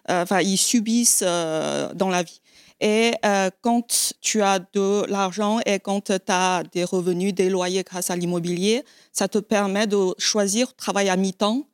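A woman's voice: mid-range voice, no room sound, clean studio sound. A woman who grew up in China, speaking plainly and steadily on a live panel with frequent fillers.

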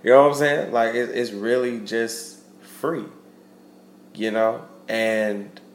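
A man's voice: medium pitch, takes it slowly. A young man hosting a solo podcast, talking loosely with slang, fillers and restarts, and sounding stuffy from a cold. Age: young adult. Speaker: podcast host. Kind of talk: casual solo podcast monologue. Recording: roomy echo with faint ambient noise.

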